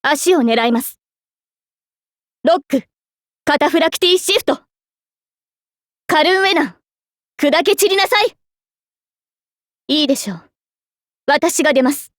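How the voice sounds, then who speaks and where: An actress voicing a noble, resolute young mage-knight in an anime game, with a formal, commanding delivery. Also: female voice, high voice, moderate pace, long pauses, dry recording, clean studio sound, close to an everyday voice. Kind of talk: anime game battle voice lines.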